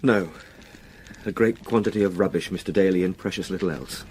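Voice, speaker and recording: An actor playing a shaken, courteous young English gentleman in a radio drama, speaking formally and hesitantly. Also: sits low, a little fast, slight background noise, natural voice. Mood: troubled, resolute. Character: shaken, polite young gentleman haunted by terror.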